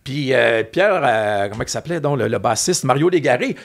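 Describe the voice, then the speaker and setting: A man with a Québécois French accent telling animated, rambling anecdotes in a casual chat. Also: mid-range voice, moderate pace, vocal fry. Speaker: guest, former music TV host.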